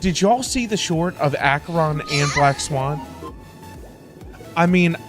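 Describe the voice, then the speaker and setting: Male livestreamer, casual and exclamatory, highly varied intonation, repetitive phrasing, faint music behind. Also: slow pace. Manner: animated, exclamatory, repeats words. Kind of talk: casual livestream game reaction and chat.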